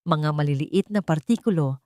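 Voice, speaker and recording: A woman narrates a scripted audiobook melodrama. Her voice sits a little low, and the recording is dry and close, with dramatic storytelling delivery. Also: normal speed, warm tone, no echo.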